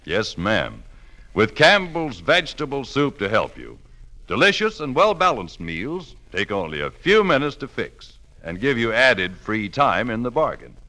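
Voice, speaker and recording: A man's voice: mid-range voice, very animated, a little slow, pushed and bright. An American man reading a scripted soup-style radio commercial as a 1940s announcer, with hearty, emphatic salesmanship. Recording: 1940s radio broadcast recording.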